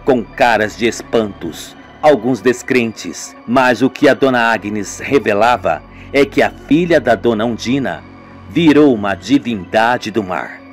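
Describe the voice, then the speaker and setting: A man's voice: mid-range voice, measured pace, faint music behind. A man narrating a radio soap in a storytelling way, speaking straight to his listeners.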